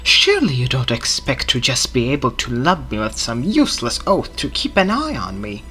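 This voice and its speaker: A man's voice: mid-range voice, huge pitch swings, moderate pace, pushed and bright, soft background music. An actor playing an arrogant, ruthless male renegade time-traveller in an audio drama, with a theatrical, mocking manner. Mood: scornful, menacing.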